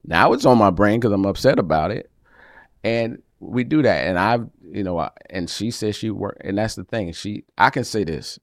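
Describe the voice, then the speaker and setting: Deep-voiced man, animated and gravelly. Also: normal speed, dry recording, studio quality. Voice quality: deep voice that carries. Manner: animated, gets loud when excited. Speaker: podcast co-host. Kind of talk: casual married-couple chat.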